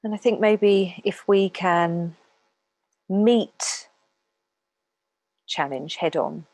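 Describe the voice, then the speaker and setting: A woman speaking as a meditation teacher, calmly guiding listeners in an unhurried way with long pauses. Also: on the low side, dry recording, rough recording. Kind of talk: guided meditation talk.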